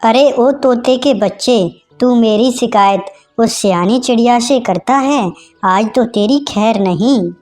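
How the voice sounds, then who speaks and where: A woman's voice: a little high, slow, a little mellow, soft background music. A woman voicing a brazen, quarrelsome male crow in a cartoon moral story, with a taunting, defiant manner. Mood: defiant, threatening.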